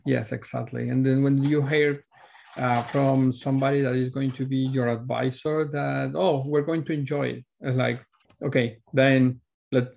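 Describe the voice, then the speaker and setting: A man's voice: medium pitch, fairly even intonation, normal speed, creaky, a little mellow. A man with a Mexican accent and native Spanish, answering interview questions as a student guest in an informal, loosely phrased way. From Mexico City, Mexico.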